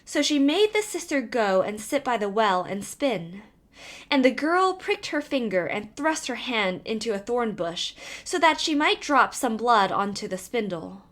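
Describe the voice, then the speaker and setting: Woman storyteller with lively, animated pitch. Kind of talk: scripted fairy-tale narration.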